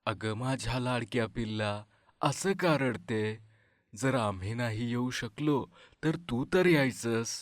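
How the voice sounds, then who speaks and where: A performer voicing a loving older farmer father in an animated story, speaking gently and with affection. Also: mid-range voice, takes it slowly, no echo, studio quality, natural voice. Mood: tender.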